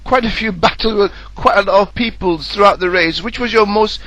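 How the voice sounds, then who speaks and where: A man's voice: high voice, a little fast, fairly bright tone, dry recording, rough recording. A man co-commentating on a sim race in a casual, matey way, chatting and putting questions to others. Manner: informal, matey.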